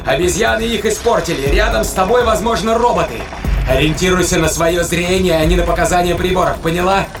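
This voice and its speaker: A man acts out a tense, commanding space fighter in an audio drama, issuing urgent orders. Loud music and battle noise sit behind the voice. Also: sits high, a little fast, pitched above the performer's own voice. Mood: urgent warning.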